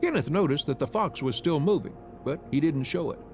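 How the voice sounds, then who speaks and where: A man streaming a visual novel, narrating it aloud in an expressive storytelling style. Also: fairly high pitch, brisk, soft background music.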